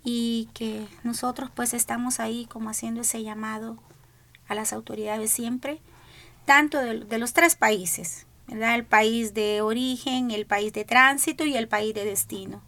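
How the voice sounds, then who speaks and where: Salvadoran activist mom, middle-aged, earnest pleading testimony, fairly high voice. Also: female voice, steady tone, unhurried, pushed and bright. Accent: Salvadoran Spanish. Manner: earnest, pleading, repeats "¿verdad?". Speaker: mother of a missing migrant, activist. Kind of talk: radio panel testimony.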